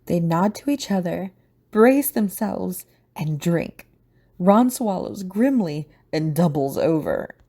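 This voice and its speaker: A woman's voice: on the low side, lively intonation, takes it slowly, mellow. A woman narrating a scripted audio drama.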